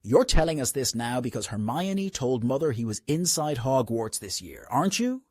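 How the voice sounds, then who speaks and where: Man as privileged wizard boy, proud, earnest, mid-pitched, husky edge, dry comic dub. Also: sing-song, moderate pace, darkish tone, no echo.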